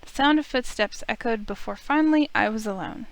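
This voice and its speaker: A woman reading a visual novel aloud as an expressive, dramatic narrator. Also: on the high side, brisk, low-quality recording. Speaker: let's play streamer. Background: music in the background.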